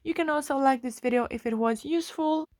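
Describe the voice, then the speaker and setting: Woman doing a phone tutorial, highish voice, slow step by step, dry sound. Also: darkish tone, dry recording. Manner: instructional, step by step. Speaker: tutorial host. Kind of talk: scripted how-to narration for a phone screen tutorial.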